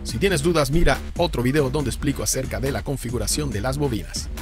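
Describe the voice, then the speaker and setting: A man's voice: mid-range voice, a little fast. A man reading a scripted rundown of subwoofer specifications and prices for a car audio channel. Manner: reads spec lists and prices in an even explaining tone. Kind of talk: scripted product narration. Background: background music.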